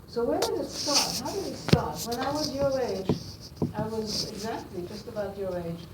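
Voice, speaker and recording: An older Austrian woman with German as her first language talks to students, pausing often with fillers and restarting her sentences. She sounds set back from the mic, in an echoey room with steady noise around her. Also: on the high side. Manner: halting, many fillers and restarts. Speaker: guest speaker to students. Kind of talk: classroom talk. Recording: roomy, distant, noisy room.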